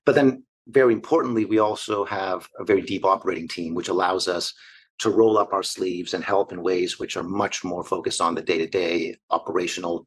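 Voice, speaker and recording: Brief: male investor guest, forties, from Argentina, husky low voice, steady and orderly. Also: low-quality recording. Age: 40s. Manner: fluent, measured business talk in long structured lists. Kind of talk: interview answers.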